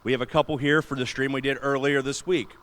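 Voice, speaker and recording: A man with a booming voice giving an enthusiastic, chatty panel talk in a large convention room. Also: steady tone, quick pace, a bit bright. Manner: enthusiastic, chatty, laughs often. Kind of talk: casual panel talk on streaming gear.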